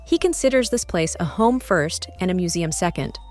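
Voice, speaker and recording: Woman as an animated, kindly cartoon museum resident. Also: fairly low pitch, moderate pace, faint music behind, close to an everyday voice. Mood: reassuring. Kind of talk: scripted character dialogue.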